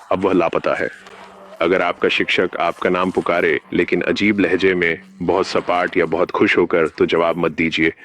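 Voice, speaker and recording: A man narrating a scripted analog horror safety bulletin in a formal, measured announcer manner. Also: low voice, fairly bright tone.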